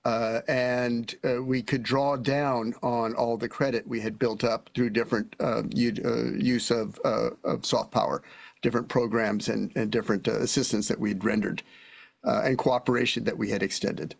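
A man with a gravelly, creaky voice of medium pitch answers on a panel as a former official. He speaks haltingly, hesitating often as he searches for words. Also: little pitch movement.

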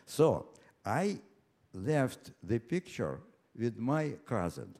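Elderly man, Russian accent, live storytelling, slow halting delivery, widely swinging pitch. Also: very animated, darkish tone. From Ukraine, later Moscow. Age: 99, nearly one hundred. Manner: halting, deliberate, with repeated words and pauses. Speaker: personal storyteller. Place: live stage before an audience.

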